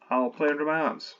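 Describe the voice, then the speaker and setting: A man's voice: fairly high pitch, fairly bright tone, highs cut off, slight background noise. A man reading his own screenplay aloud while revising it, stopping often to correct himself and add wry asides.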